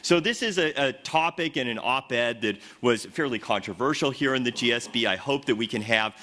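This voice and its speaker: A man, a professor, giving a measured, academic introduction of a guest speaker in a university lecture room. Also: mid-range voice, sharp tone. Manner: measured, academic, sprinkled with hedges.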